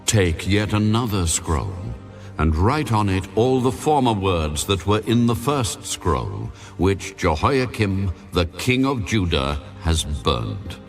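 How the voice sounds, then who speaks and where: An actor playing God in a dramatized audio Bible, speaking in a solemn, commanding, deliberate manner. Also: male voice, deep voice, big pitch swings, faint music behind, full character voice. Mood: stern command. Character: God, a stern, solemn, commanding male divine voice.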